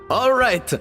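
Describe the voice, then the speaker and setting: A man's voice: sits high, full character voice. A man playing a theatrical gourmet contest judge in an anime game, pompous and flowery. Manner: pompous, flowery, savoring words. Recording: hall reverb with music behind.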